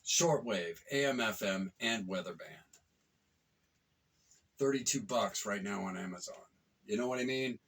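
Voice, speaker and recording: Husky low-voiced man, casual reviewer. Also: measured pace, long pauses, a bit bright, distant mic. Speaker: product reviewer vlogger. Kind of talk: casual informal product review chat.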